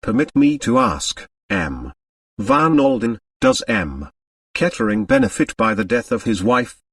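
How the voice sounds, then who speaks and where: A man voicing a shrewd little foreign detective in an audiobook, mock modest and precise, murmuring softly. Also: on the low side, big pitch swings, measured pace, gravelly, breathy edge, dry recording, clean studio sound, recorded from a distance, heavily acted. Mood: softly probing. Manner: soft murmuring, precise, complacent.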